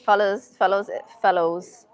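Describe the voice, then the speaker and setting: A young American woman with a light Puerto Rican accent, chatting casually on a livestream in an expressive, slangy, opinionated way. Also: mid-range voice, unhurried. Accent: Puerto Rican-tinged American. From Massachusetts, USA. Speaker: live streamer. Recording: music playing behind.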